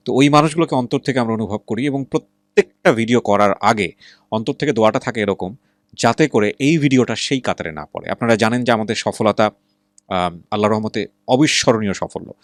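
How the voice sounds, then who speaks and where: A man's voice: on the low side, dry recording, studio quality. A man with a Bangladeshi accent presenting and interviewing conversationally as a radio show host, mixing in English words and probing with questions. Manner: probing, asks follow-up questions; mixes English words into speech.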